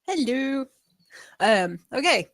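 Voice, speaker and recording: A woman giving casual feedback as a panelist, friendly, rambling and softening her points. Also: high voice, big pitch swings, slow, dry recording, clean studio sound. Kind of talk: casual chat.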